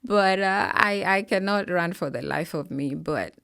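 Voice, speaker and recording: Woman, low and gravelly. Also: a little slow, a bit bright, no echo, clean studio sound. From Kenya. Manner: earnest, explanatory, with frequent fillers. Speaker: financial advisor guest. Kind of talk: conversational interview answers.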